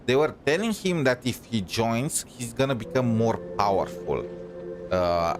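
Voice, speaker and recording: A man giving casual gameplay commentary as a streamer, thinking aloud in a hesitant, halting way. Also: medium pitch, lively intonation. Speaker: game streamer. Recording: loud game music underneath.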